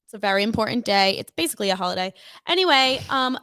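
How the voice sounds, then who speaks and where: A woman's voice: mid-range voice, expressive, fast talker, sharp tone, smooth, no room sound. A woman in her twenties from New Jersey, co-hosting a casual chat show with a chatty, self-mocking manner. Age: 20s. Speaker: co-host.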